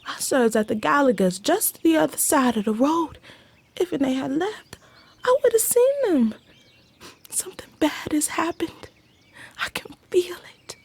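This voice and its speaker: High-voiced, timid Southern servant girl. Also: animated, a little slow, gravelly, heavily acted. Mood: anxious, sad. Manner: deferential, hesitant, plain-spoken. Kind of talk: video game cutscene acting.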